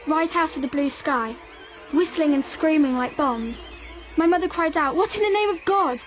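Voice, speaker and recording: Woman as frightened girl narrator, high-pitched, eerie horror-novel drama, dull sound under clear music. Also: normal speed, close to an everyday voice. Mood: eerie, awed. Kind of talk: dramatic first-person reading from a horror novel.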